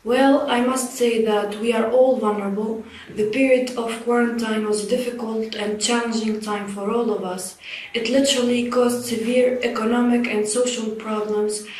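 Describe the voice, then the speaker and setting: An earnest young woman, voiced by a woman gives a measured, reading-like message. Her delivery stays flat. The room adds a clear echo. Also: on the high side, slight background noise, natural voice. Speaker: guest in a staged talk show. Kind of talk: scripted message. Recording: echoey room.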